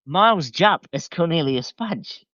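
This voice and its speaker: A man's voice: fairly high pitch, lively intonation, a little slow, fairly bright tone, no echo. A man chatting casually as a podcast guest, informal and enthusiastic, on a slightly lo-fi recording. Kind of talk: casual chat.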